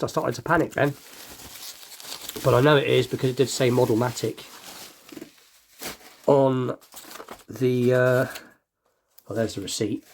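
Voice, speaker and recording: A man's voice: medium pitch, slight background noise. Slightly nasal man, casual vlogger. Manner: rambling, thinking aloud. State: slight cold. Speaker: hobby unboxing video host. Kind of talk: casual chatty unboxing commentary.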